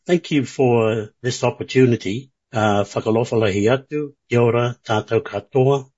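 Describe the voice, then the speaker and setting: A man from Niue, introducing himself slowly and hesitantly as a board candidate, with many pauses and fillers. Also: medium pitch, darkish tone, husky, dull audio.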